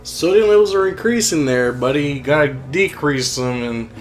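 A man's voice: on the high side, normal speed, a little hiss or hum. Young guy ribbing his buddy while he plays, chill tone. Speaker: let's play co-host. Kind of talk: casual gameplay commentary.

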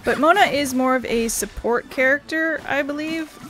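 A woman's voice: sits high, moderate pace, fairly bright tone, low-quality recording. A woman hosting a gaming video with casual let's play commentary, sometimes reading text aloud. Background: video game music.

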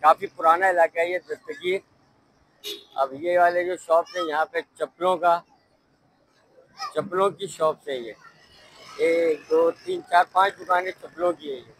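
A man's voice: a little high, steady tone, unhurried, long pauses, a bit bright, rough recording. A middle-aged man, chatting casually as he drives and points out the sights, recorded inside a car. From Pakistan. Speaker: vlogger. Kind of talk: casual driving tour narration. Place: inside a car on city streets. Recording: car and street noise behind.

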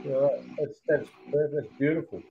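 A man's voice: a little high, unhurried, faint music behind. A middle-aged man chatting casually on a video call from a small workshop. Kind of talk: casual chat. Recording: dull, noisy workshop video-call audio.

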